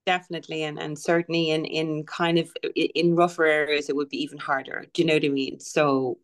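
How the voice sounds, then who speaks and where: A woman's voice: deep voice, flat delivery, fairly quick. A woman with a Dublin Irish accent, chatting casually as a guest author and telling stories with easy laughter. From Ireland. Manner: chatty, laughs easily, storytelling. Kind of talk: casual podcast chat.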